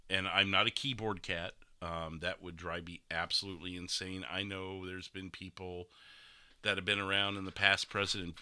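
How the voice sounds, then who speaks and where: A man's voice: sits low, sharp tone, dry recording. A man chatting casually as a streamer, rambling a bit, with a stuffy nose that has him breathing through his mouth. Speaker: gaming streamer hosting a podcast. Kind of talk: casual chat.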